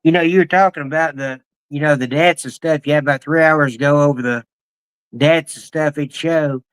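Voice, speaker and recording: Guy hosting a video call interview, stumbles through his questions, kinda high voice. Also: steady tone, smooth. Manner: halting, many fillers and restarts. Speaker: interview host. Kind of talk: casual interview.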